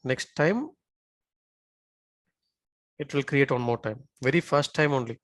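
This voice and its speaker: Man teaching software, dry close sound. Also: mid-range voice, unhurried, no room sound. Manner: checks in often with short tag questions. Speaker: software instructor. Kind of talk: live software tutorial with screen walkthrough.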